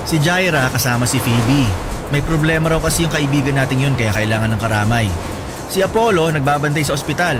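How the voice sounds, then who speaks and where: A man's voice: on the high side, a little fast, natural voice. An actor playing a playful young man out drinking with a friend, joking and teasing as he talks. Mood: casual, joking. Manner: joking, teasing, laughs easily. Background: music.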